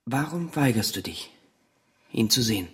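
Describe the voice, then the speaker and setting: An actor playing a gentle, forgiving brother in a German radio drama, speaking with quiet earnestness in a gravelly voice. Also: male voice, medium pitch, lively intonation, moderate pace, lightly acted. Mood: gentle insistence. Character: gentle, forgiving brother urging his sister.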